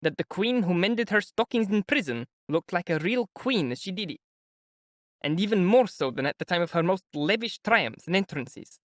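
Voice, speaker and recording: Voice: medium pitch, expressive, moderate pace, fairly bright tone, no room sound, clean studio sound, pitched above the performer's own voice, natural voice. A reader doing an earnest young man.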